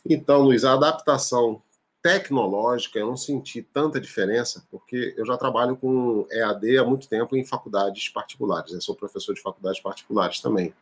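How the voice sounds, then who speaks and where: A man in his forties talks over a laptop webcam from a room at home, telling his story in a loose, expansive way. His voice sits at an ordinary middle pitch for a man, and he keeps dropping in little tag questions as he goes. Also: a little fast. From Rio de Janeiro, Brazil. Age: 40s. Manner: expansive, gestures freely, frequent tag questions. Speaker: teacher and musician, guest. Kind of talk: relaxed conversational interview answers. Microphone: video call, laptop webcam.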